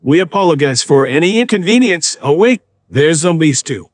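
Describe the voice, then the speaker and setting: A man's voice: on the high side, lively intonation, moderate pace, noisy background, close to an everyday voice. A man playing a polite but urgent airport announcer in an amateur scripted skit. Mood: formal, urgent.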